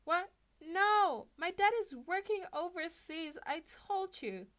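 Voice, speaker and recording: A woman voicing an excitable, mischievous teenage schoolboy in a story reading, exclaiming and stammering. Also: extremely high pitch, slow pace, voice pushed up, exaggerated voice. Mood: flustered protest.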